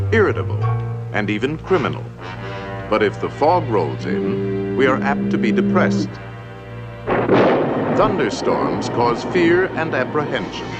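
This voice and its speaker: A man narrating a 1950s educational film in a warm, playful storytelling manner that slips into rhyme. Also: mid-range voice.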